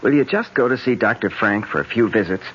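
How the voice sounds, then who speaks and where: An actor playing a patient, caring American suitor in a 1970s radio drama, with an earnest, gentle, halting manner. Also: male voice, fairly low pitch, natural voice. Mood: concerned, persuasive. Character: concerned young suitor, patient and caring boyfriend. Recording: muffled old radio recording with hiss.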